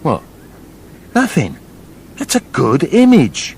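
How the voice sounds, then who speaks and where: A man's voice: fairly high pitch, huge pitch swings, takes it slowly, gravelly, darkish tone, breathy edge, full character voice. An actor playing a sardonic, put-upon male wolf in a comic radio play, with a dry, argumentative, incredulous manner.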